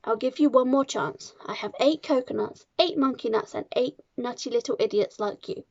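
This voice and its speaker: A woman's voice: fairly high pitch, moderate pace, gravelly, darkish tone, no room sound, muffled recording. A woman voicing a tyrannical, explosively angry older headmistress in a children's storybook, barking and sneering as she stretches out her insults. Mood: furious, bellowing. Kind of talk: children's storybook character reading.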